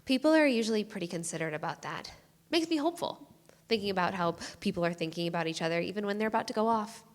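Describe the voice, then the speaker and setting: A woman's voice: mid-range voice, expressive, fast, close to an everyday voice. Lady playing a chatty squeamish island sheriff in a stage play, folksy n polite, echoey hall. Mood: chatty, matter-of-fact. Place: live theatre stage. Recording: reverberant live theatre recording.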